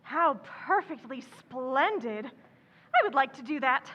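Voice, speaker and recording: A bold teenage tomboy played by a woman in an old-time radio play. The voice is very high and forceful, pushing along with bossy, theatrical eagerness. The recording is slightly muffled. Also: animated, slow pace, full character voice. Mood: excited. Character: bold, tomboyish teenage girl dreaming of writing fame. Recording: dull lo-fi sound, faint music behind.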